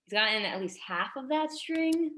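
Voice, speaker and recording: A woman's voice: high voice, lively intonation, moderate pace, a bit bright. A woman playing an earnest, tactful schoolteacher in her twenties in a staged drama reading, speaking hesitantly and delicately. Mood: awkward, delicate.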